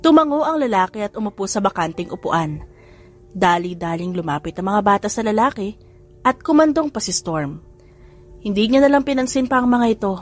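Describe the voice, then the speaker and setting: Woman narrator, audiobook storytelling, expressive, faint background music. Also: medium pitch. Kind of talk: audiobook storytelling with character voices.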